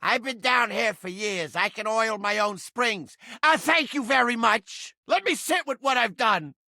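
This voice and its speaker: A crusty little mouse trap from a video game, brash and streetwise. The voice is piercing and strident, leaping up and down in pitch, recorded very dry. Under the surly bluster sits a soft heart. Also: male voice, animated, unhurried, creaky, no echo, exaggerated voice. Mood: gruff, defensive. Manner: brash, yelling, streetwise slangy wisecracking. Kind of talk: video game character acting.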